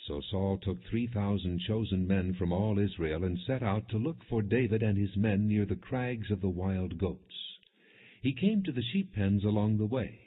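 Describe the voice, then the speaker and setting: A man narrating scripture from a script in a measured, solemn manner, with a husky voice. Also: on the low side. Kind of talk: scripted narration, non-dramatized Bible reading. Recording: muffled, phone-like narrow band.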